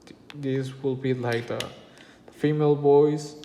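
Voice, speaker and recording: A man giving a casual unboxing review as a product reviewer, with hesitant, halting phrasing. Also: mid-range voice, steady tone, dark tone. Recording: echoey room with long reverb, faint noise.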